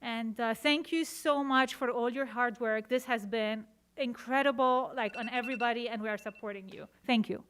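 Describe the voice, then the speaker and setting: Woman from Lebanon, parent at a public hearing; earnest, halting delivery; clear, resonant voice. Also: on the high side, steady tone, a bit bright. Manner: earnest, halting with frequent fillers. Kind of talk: public comment at a board meeting. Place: school board meeting room.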